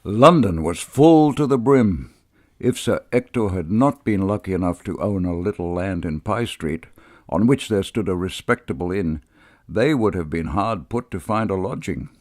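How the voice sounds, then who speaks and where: A man reading literary prose and verse as an audiobook narrator, in a measured, expressive storytelling way. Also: deep voice, no room sound, studio quality.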